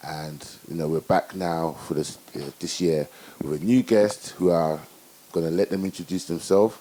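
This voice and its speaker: Man, very low voice, mellow tone, interview host, rambling questions, many fillers. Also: fairly even intonation, moderate pace, rough recording. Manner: rambling questions full of "you know" and "obviously". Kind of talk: casual interview hosting.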